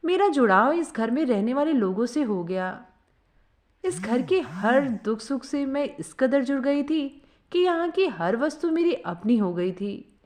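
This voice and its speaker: A woman narrating a scripted first-person story in an expressive, sentimental storytelling manner. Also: high-pitched, unhurried.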